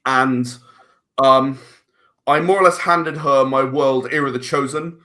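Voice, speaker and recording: A man's voice: mid-range voice, fairly even intonation, unhurried, bright, forceful tone. A man chatting casually as a podcast guest, rambling and repeating words as he searches for his phrasing. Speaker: interview guest, filmmaker and audio drama writer.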